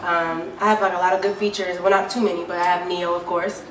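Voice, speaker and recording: A woman singer giving a casual interview, talking in a deep, low speaking voice with many easygoing fillers. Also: fast talker. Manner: relaxed and chatty, many fillers like "um" and "you know". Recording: echoey room, mic far off, clear background noise.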